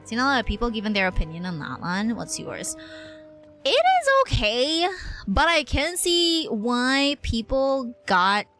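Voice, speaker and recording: Lively woman livestreamer chatting over game music. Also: on the high side, unhurried. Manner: chatty, slangy, lightly swearing. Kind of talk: casual gameplay livestream commentary. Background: game music and dialogue.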